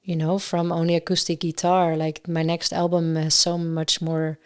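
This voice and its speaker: A woman with a Dutch accent, a singer-songwriter and guest on a podcast, chatting casually and often pausing to search for words. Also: low voice, flat delivery, clean tone. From Netherlands. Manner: searching for words, many fillers and restarts.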